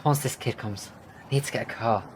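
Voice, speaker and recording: A man's voice: medium pitch, fairly quick, lightly acted. A man playing a grumbling working man, street noise. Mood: weary grumbling. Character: Craig, grumbling ordinary working man walking home, expectant father. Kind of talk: audio drama acting. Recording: noisy outdoor street ambience.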